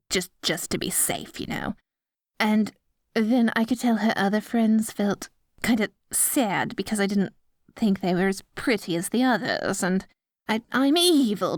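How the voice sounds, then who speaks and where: A woman playing a dorky evil sorceress queen in an ASMR roleplay, petty but secretly soft-hearted, mixing mock-villain grandeur with awkward asides. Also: mid-range voice, dry recording, studio quality. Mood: smug, sheepish, then awkward, defensive. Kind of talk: ASMR roleplay, addressing the listener directly.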